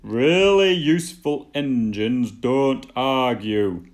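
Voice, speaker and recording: A man voicing a stern, commanding male railway controller in a children's storybook, loud and authoritative. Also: slow, lightly acted. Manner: booming, authoritative.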